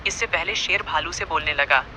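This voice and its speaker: A man's voice: on the high side, brisk, bright, forceful tone, a little hiss or hum, rough recording. A man narrating a scripted animal fable as a storyteller.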